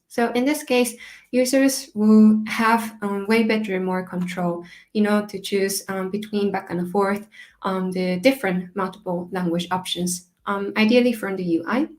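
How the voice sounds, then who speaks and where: Woman, Japanese first language, tech conference speaker, mid pitch, halting delivery full of fillers. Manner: hesitant, many fillers and restarts. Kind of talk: technical conference talk.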